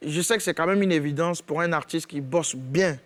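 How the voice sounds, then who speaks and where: A male singer of Ghanaian and Ivorian background answers interview questions at an easy pace. His voice sits a little high, and he often pauses to find the right words. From Ghana and Côte d'Ivoire. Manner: relaxed, searching for words, laughs easily. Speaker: singer guest.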